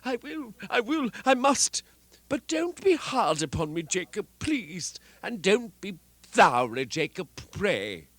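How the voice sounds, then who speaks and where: A man voicing an old miser who scoffs at a ghost and then pleads with it, in an animated dramatic reading. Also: high-pitched, takes it slowly, no echo, full character voice. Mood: pleading, frightened. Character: old male miser, frightened and doubting. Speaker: narrator and lead actor. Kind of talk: dramatic reading of a classic tale.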